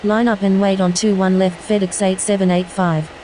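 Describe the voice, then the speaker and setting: A woman's voice: mid-range voice, flat delivery, moderate pace, warm tone, low-quality recording. A woman speaking as an airline pilot in scripted air traffic radio phraseology. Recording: faint background noise.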